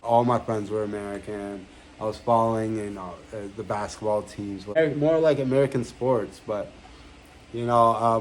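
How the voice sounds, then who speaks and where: A man's voice: mid-range voice, a little hiss or hum, poor audio. A man in his thirties, born in Egypt, hosting his own channel and talking casually to camera in a rambling way with fillers and self-corrections. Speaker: channel founder and host. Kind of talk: casual vlog monologue to camera. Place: indoor room with a banner behind.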